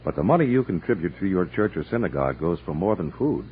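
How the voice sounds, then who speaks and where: Male radio announcer, low husky voice, wide expressive swings, formal, measured, thin old broadcast sound. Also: narrow, tinny audio, slight background noise.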